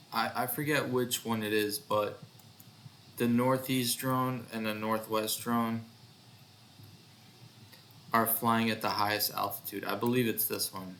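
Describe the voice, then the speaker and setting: A man giving a casual demo of his own software project, thinking aloud in a hesitant way. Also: medium pitch, slight background noise. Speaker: developer presenting own project. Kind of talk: casual screen-recorded demo narration.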